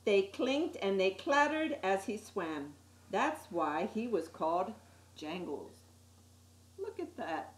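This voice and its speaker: A woman reading a children's picture book aloud as an expressive storyteller, with little asides to her listeners. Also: mid-range voice, slow. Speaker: story time reader. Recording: echoey room.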